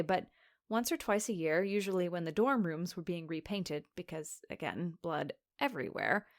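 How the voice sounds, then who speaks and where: An actress playing a sardonic, anxious young woman who narrates a confessional podcast drama in a conversational, sweary way. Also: fairly low pitch. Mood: anxious, weary. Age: young adult. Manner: wry, sarcastic, sweary. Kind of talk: confessional scripted monologue, conversational.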